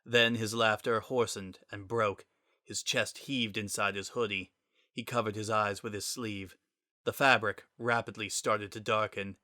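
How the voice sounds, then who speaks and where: A man's voice: fairly low pitch, steady tone, unhurried, sharp tone, no echo, studio quality. A man narrating a story as a dramatic scripted reading.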